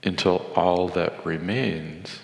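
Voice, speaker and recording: A man leading a guided meditation in a measured, contemplative way, with deliberate pauses. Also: deep voice, fairly even intonation, vocal fry. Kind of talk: guided meditation with Sanskrit chanting. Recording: echoey hall with long reverb.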